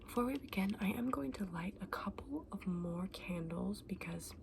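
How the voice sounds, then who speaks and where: A woman playing a kindly, eccentric fantasy shopkeeper in an ASMR roleplay, whispering softly and breathily with gentle, polite, quaint phrasing. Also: mid-range voice, animated, normal speed. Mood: delighted, attentive. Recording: clearly audible background noise.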